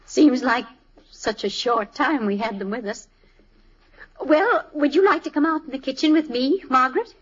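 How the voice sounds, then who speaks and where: Kindly, high-voiced older country wife. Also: female voice, moderate pace, a bit bright, poor audio. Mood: wistful. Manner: homespun, wistful, welcoming. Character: kindly, homely old storekeeper's wife, grown children. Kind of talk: 1950s radio drama acting. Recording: old radio recording with clear noise.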